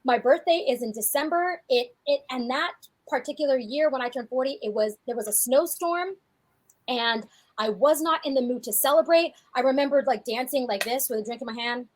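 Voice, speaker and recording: A woman in her forties, a fitness coach co-hosting a podcast, talking in a chatty, animated way with frequent restarts. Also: sits high, fairly quick. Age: 40s. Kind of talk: casual podcast chat.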